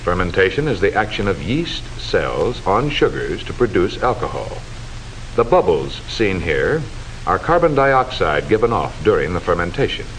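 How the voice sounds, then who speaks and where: A man delivering formal, measured, instructive scripted narration in the style of a 1940s educational film, on a hissy old soundtrack. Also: sits low, very animated, fairly bright tone, low-quality recording.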